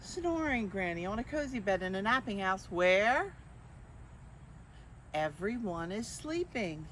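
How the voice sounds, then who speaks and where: An older woman reading picture books aloud to young children, expressively, with drawn-out phrases and questions to her listeners. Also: mid-range voice, sing-song, a bit bright, a little hiss or hum. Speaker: children's storyteller.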